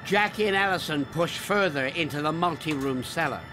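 A man's voice: fairly high pitch, moderate pace. A man reading scripted documentary narration for television as the narrator. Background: music.

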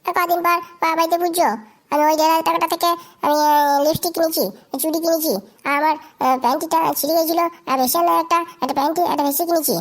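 Woman as quarrelsome young cartoon wife, high-pitched, extremely rapid, crude scolding. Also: fairly even intonation. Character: angry, foul-mouthed young Bengali village wife. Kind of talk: comedy cartoon dialogue.

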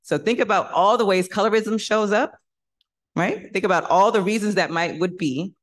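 A woman moderating a conference panel on stage, lively, putting rhetorical questions to the audience. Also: medium pitch, normal speed. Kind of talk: panel discussion.

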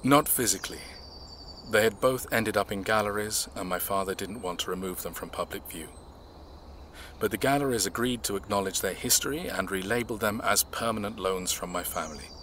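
A man acting in an audio drama, playing a reserved, measured man who recounts his family's past in a reflective way. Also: lowish voice, fairly bright tone, slight background noise, lightly acted.

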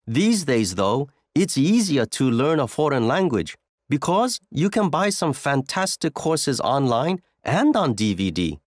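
A man's voice: medium pitch, big pitch swings, a little slow, no room sound, studio quality. A man playing a chatty language learner in scripted small talk for a listening exercise.

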